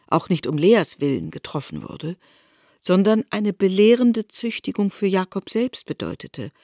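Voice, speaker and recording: A woman's voice: mid-range voice, big pitch swings, unhurried, vocal fry, dark tone, no room sound, clean studio sound. A woman narrating a literary radio reading in a precise, formal way.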